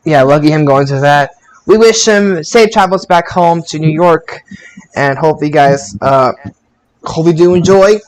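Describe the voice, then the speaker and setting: A man's voice: on the high side, measured pace, low-quality recording. A man hosting a podcast in a casual, chatty way, often stumbling and repeating his words. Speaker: podcast host. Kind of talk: casual podcast chat and sign-off.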